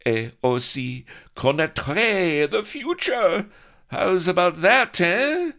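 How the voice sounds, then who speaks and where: A man voicing an excitable pirate captain in an audiobook, who babbles French phrases in a shrill, gleeful voice. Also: slow, heavily acted. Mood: exultant.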